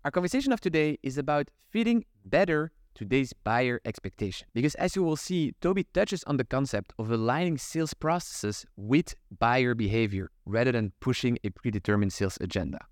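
A man's voice: mid-range voice, animated, fairly quick, hardly any pauses, no echo. Podcast host man, dry close sound. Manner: reads intro and ad brightly, then asks loose rambling questions. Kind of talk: podcast intro and interview.

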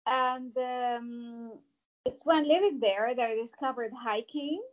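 Slow-spoken woman from Morocco. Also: a little high, dull audio. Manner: slow and measured, with fillers. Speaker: mountaineer guest. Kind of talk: interview answers.

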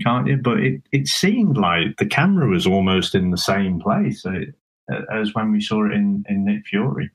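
A man co-hosting a casual film review talk, explaining things in a halting, conversational way with tag questions. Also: fairly low pitch, low-quality recording. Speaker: film review podcast co-host.

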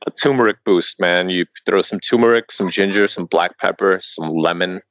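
A laid-back man in his early thirties from Colorado, talking as a podcast guest with a low, deep voice. He rambles easily, restarts his sentences and drops "man" and "bro" everywhere. The sound is dull and dry, like a lo-fi remote call. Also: normal speed, fairly bright tone, no room sound. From Colorado, USA. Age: early 30s. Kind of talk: casual interview chat.

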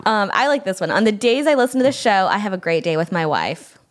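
A woman's voice: mid-range voice, big pitch swings, quick pace. Chatty woman podcast co-host. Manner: chatty, lots of fillers and laughs. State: slightly raspy from a night guard. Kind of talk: casual chat.

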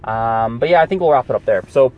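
A man's voice: mid-range voice, fast talker, muffled recording, slight background noise. A man hosting a podcast in casual chat, talking into a phone while getting ready and then driving in a car, rambling as he goes. His first language is Gujarati. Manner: rambling, talks while on the move. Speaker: podcast host.

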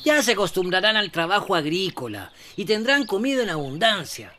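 A man's voice: high-pitched, sing-song, fairly quick, sharp tone, a little hiss or hum, full character voice. A man playing a self-important, bossy but cheerful farming teacher in a radio play, speaking in a pompous, instructive way. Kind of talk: theatrical radio play acting.

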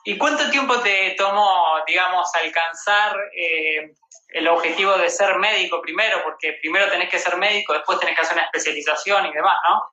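A man's voice: high voice, brisk, sharp tone. An Argentine man hosting a casual livestream interview. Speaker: host interviewing a guest. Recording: echoey room, dull livestream audio.

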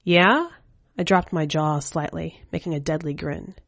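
A woman narrating scripted fiction as a story reader, with a measured, hushed delivery. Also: deep voice, fairly even intonation, vocal fry, no room sound. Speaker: podcast editor and story reader.

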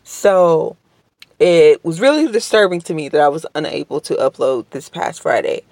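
A woman hosting a casual solo podcast from home, under the weather with a strained voice, talking slowly with many hesitations and apologies. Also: low-pitched, expressive, creaky, a little mellow, no room sound. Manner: slow, hesitant, many ums and restarts; polite and apologetic. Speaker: podcast host. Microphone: home podcast microphone.

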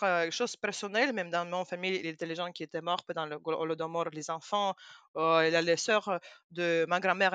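A Ukrainian woman whose first language is Russian speaks in a bright, forward voice. She explains things in animated, halting French, pausing often on fillers. The sound is dry, with no room echo. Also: fairly low pitch. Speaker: guest. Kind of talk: casual interview talk.

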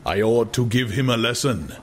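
A man's voice: medium pitch, moderate pace, lightly acted. A man voicing a scornful world ruler with an authoritative manner in an audiobook. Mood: amused scorn. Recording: clear background noise.

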